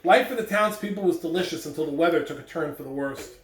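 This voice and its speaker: A man reads a picture book aloud for schoolchildren, putting deliberate, animated emphasis on his words. His voice sits at some distance from the microphone in an echoey room, with faint noise underneath. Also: fairly high pitch. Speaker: school staff member reading aloud on morning announcements. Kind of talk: expressive children's read-aloud. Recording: roomy echo, distant mic, faint background noise.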